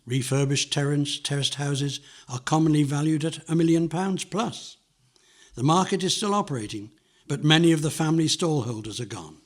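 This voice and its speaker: Older man, husky narrator. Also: mid-range voice. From London, England. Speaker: narrator reading a memoir. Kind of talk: scripted narration, nostalgic memoir reading.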